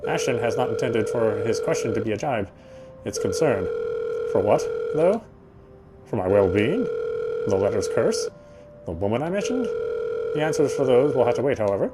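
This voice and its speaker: A man's voice: medium pitch, very animated, moderate pace, long pauses, very noisy. A man reading a visual novel's narration aloud as he plays, now and then stumbling and rereading phrases.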